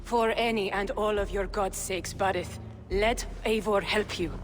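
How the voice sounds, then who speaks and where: A woman's voice: mid-range voice, steady tone, a little slow, a little hiss or hum, natural voice. An actress playing a blunt, sardonic noblewoman in a video game, speaking in formal phrases. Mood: sardonic, urging. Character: blunt, sardonic noblewoman scolding a Viking king. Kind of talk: dramatic video game acting. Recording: long hall reverb, music behind.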